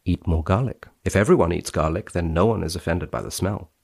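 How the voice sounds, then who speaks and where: A man speaking as a podcast guest, wry and expressive, with a dryly playful touch. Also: low voice, fairly quick, vocal fry, slightly rough. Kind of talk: dramatic reading and wry conversation.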